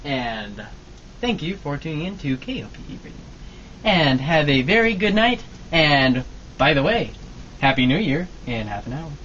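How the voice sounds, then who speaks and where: A man's voice: on the high side, big pitch swings, normal speed, a bit bright. A man announcing an informal sign-off for an amateur radio show. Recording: dull amateur recording with noise.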